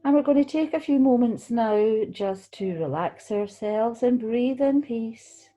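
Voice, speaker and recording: A woman leading a guided relaxation meditation, speaking gently and soothingly, unhurried, with pauses between phrases. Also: on the high side, lively intonation, soft background music. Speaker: guided meditation leader, tai chi qigong teacher.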